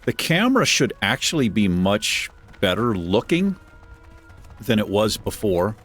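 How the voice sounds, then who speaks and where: Deep-voiced rambling gaming host. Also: male voice, very animated, unhurried, fairly bright tone, soft background music. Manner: rambling, self-deprecating chatter. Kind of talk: casual gameplay commentary. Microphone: desk microphone.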